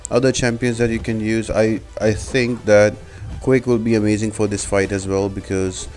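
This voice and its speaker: A man with a somewhat low voice gives a casual gameplay tutorial. He talks viewers through the game as a guide creator, with faint music behind him. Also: darkish tone.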